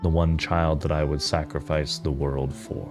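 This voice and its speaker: A man voicing a grave, determined, authoritative mother in a tabletop game, speaking in a solemn, measured way with long pauses. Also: very deep voice, flat delivery, over music. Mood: solemn, intense. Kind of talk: improvised tabletop roleplay.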